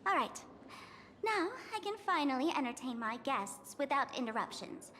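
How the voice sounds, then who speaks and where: A woman's voice: high-pitched, very animated, normal speed, a little hiss or hum. A woman voicing a playful, self-assured young girl who runs a jesters' tavern in a video game. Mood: commanding, playful. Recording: loud game music behind.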